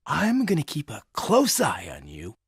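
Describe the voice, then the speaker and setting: An actor playing a smug, flippant defense attorney in a video game, giving sly, teasing deadpan answers. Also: male voice, fairly high pitch, measured pace, creaky, husky, no room sound, studio quality, exaggerated voice. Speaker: defense lawyer.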